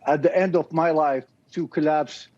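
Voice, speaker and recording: A man's voice: fairly high pitch, steady tone, moderate pace, darkish tone. A man with an Iraqi accent, speaking earnestly and passionately as an interview guest in simple, broken phrasing. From Iraq. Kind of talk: video interview. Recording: dull lo-fi recording.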